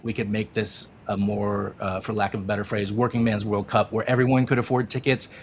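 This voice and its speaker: A man's voice: on the low side, flat delivery, brisk, slight background noise. A man co-hosting a casual soccer talk show, enthusiastic and rambling, with many fillers. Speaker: podcast co-host.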